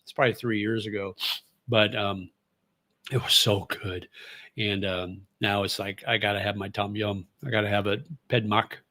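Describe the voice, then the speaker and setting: A man's voice: on the low side, flat delivery, moderate pace, bright, forceful tone, no room sound. A middle-aged man and former radio host, chatting casually on his livestream and reading viewer comments in a rambling, easygoing way with plenty of fillers.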